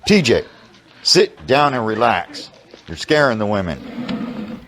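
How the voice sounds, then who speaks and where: A man's voice: lowish voice, very animated, slow, a bit bright, slight background noise, heavily acted. A man playing a needling, bossy drinking companion in an audio drama.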